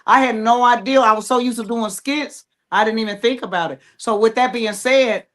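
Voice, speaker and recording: A woman with a slightly high voice talks casually as a playwright guest. She is plain-spoken and encouraging in how she puts things. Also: normal speed, a bit bright. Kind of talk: casual chat.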